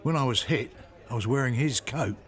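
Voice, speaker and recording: An actor playing a suspicious, jealous middle-aged husband, terse and serious, in a radio soap. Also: male voice, medium pitch, brisk, fairly bright tone, lightly acted.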